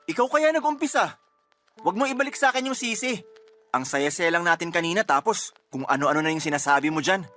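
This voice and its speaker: A man's voice: high voice, lively intonation, fairly quick, sharp tone. An actor playing a devoted young husband and protective father in a radio drama. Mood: indignant.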